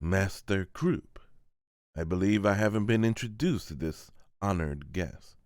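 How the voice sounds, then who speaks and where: A young lord, played by a man in a manga dub, formal and gracious. His voice is low, measured and dry. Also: expressive, clear, resonant tone, dry recording. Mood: polite, guarded. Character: courteous, formal young nobleman hosting guests. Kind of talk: amateur manga voice-over.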